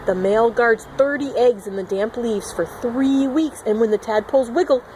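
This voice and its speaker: A woman's voice: fairly high pitch, moderate pace, darkish tone, slight background noise, poor audio. A woman reading a picture book aloud to young children as a teacher, expressively, with simple asides and acted-out sound words.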